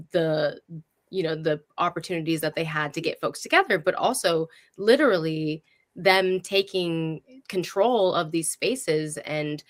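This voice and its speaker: Female host, slightly low-pitched, effusive and chatty, casual event-hosting style. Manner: effusive, enthusiastic. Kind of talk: casual, conversational hosting of an online history talk.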